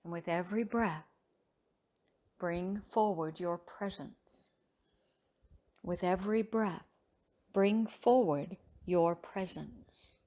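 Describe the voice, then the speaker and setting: A woman leading a guided relaxation meditation as a yoga teacher, speaking in a soothing, measured way with long pauses between phrases. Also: fairly low pitch, warm tone.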